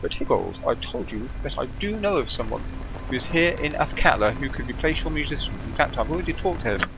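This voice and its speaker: A man's voice: medium pitch, very animated, fairly quick, vocal fry, over music, low-quality recording. A man voicing a helpful, persuasive theatre colleague from a fantasy game in an earnest manner. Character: helpful theatre colleague recommending a famous harpist.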